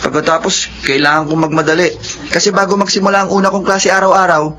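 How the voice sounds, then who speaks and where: A man's voice: high-pitched, fairly even intonation, fast, bright, forceful tone. An actor playing a humble, earnest young male working student with a Filipino accent in a radio drama, speaking politely. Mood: earnest, confiding. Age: young adult. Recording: noisy radio drama recording.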